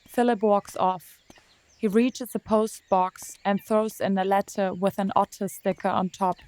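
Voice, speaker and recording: A woman narrating an audio drama in a calm, measured way. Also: mid-range voice.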